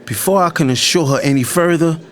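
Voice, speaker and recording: An actor playing a calm, loyal young man with casual phrasing in a first-person dramatized audiobook. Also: a little high, brisk, close to an everyday voice. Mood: reflective.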